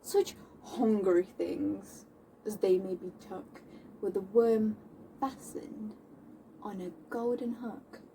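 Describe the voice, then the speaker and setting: A young woman playing a defiant, scornful tomboy of seventeenth-century London in a classical stage monologue, declaiming indignantly with dramatic pauses. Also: medium pitch, steady tone, creaky, warm tone. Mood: contemptuous. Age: young adult. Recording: distant mic with faint background noise.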